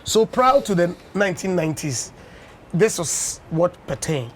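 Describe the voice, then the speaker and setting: Higher-voiced Ghanaian man telling stories. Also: very animated, slight background noise. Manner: anecdotal storyteller, unhurried with many "you know"s. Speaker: guest, former dance champion. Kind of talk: conversational interview on breakfast TV. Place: television studio.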